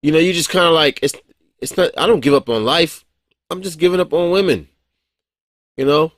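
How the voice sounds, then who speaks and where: A man's voice: on the high side, brisk, a bit bright, no echo, clean studio sound. A man in his forties giving a casual, rambling monologue as a vlogger, blunt and profane, with frequent chuckles and restarts. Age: 40s.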